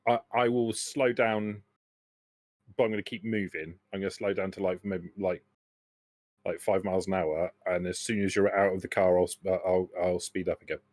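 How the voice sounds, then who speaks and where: Low-voiced man playing a tabletop game. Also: steady tone, normal speed, a little mellow, no echo. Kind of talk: casual in-game talk.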